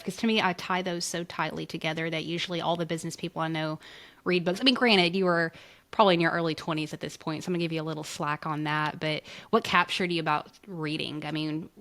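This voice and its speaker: A woman's voice: fairly low pitch, fairly bright tone. A woman hosting a casual interview podcast, talking in a folksy, chummy way. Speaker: podcast host interviewing a guest.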